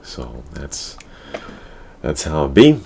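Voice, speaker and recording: Low-pitched man, crude slangy talk. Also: big pitch swings, slow pace. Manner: crude, slangy, repeats catchphrases. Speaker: talk show host. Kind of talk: casual gaming chat. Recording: clear background noise.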